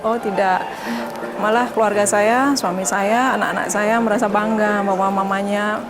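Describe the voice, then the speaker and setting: A middle-aged woman speaks in a medium pitch, telling of her working life. Her accent is Indonesian, and loud music runs behind the interview. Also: fairly even intonation, moderate pace. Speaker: aviation worker being interviewed. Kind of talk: personal interview account.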